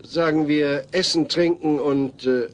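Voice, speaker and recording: A man's voice: fairly high pitch, fairly even intonation, measured pace, lightly acted. A man playing a patient, weary middle-aged father in a comedy audio play, explaining things in a dry, hesitant way.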